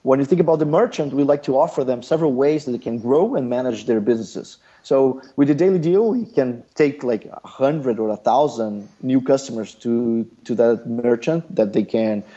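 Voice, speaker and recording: Brazilian man explaining in halting English. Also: medium pitch, warm tone, breathy edge, lo-fi sound. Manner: thoughtful, many pauses and fillers. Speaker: startup founder. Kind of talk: business interview.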